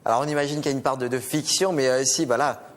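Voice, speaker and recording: A man's voice: mid-range voice, steady tone, very fast, long reverb tail. A man hosting an interview, asking questions and prompting with short interjections. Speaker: interviewer host.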